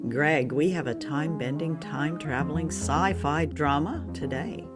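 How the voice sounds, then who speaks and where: A woman's voice: low voice, normal speed, loud music behind the voice. A woman hosting a show, giving a scripted introduction. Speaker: host.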